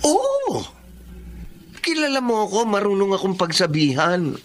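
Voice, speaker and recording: Fussy, animated Filipino club manager. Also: male voice, high voice, unhurried, a little hiss or hum, exaggerated voice. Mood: defensive, nervous. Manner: fussy, whiny, theatrical. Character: fussy, exasperated, dramatic nightclub manager. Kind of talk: Filipino radio drama acting.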